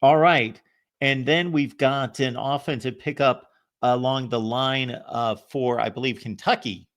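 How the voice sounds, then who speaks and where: A man hosting a college football talk show, delivering transfer news in a steady, newsy way. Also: medium pitch, measured pace. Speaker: host. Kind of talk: sports talk commentary.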